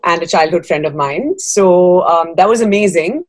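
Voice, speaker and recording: A woman's voice: fairly low pitch, animated, normal speed, no room sound. A woman in her thirties from Dubai, giving conversational interview answers on a video call from a room at home. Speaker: guest, fashion designer.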